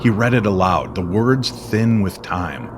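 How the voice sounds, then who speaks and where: A man narrating an eerie story in old-time radio style, with dramatic, deliberate, suspenseful phrasing. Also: lowish voice, lively intonation, background noise.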